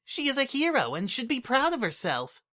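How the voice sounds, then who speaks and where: A man voicing a small, eager young female changeling child in an audiobook-style reading, with a high, insistent manner. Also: moderate pace, exaggerated voice. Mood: earnest. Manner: excited, high-pitched and insistent.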